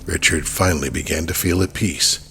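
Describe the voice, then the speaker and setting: A man's voice: a bass voice, steady tone, a little fast, background noise. A man narrating a horror audio drama in a scripted storytelling style.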